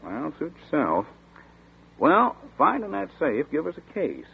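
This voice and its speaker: A folksy gravelly country deputy. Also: male voice, fairly high pitch, huge pitch swings, exaggerated voice. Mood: storytelling. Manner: folksy rural dialect, chatty and slow-spoken. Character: folksy drawling small-town male deputy, middle-aged. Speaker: rural sheriff's deputy. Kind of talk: 1940s radio acting. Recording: tinny scratchy 1940s radio broadcast.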